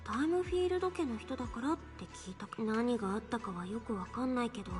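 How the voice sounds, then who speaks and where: An actress plays a young female anime game character. The voice sits high and moves very quickly, chatty and earnest, over faint game music. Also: natural voice. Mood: earnest, concerned. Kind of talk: Japanese anime game voice acting.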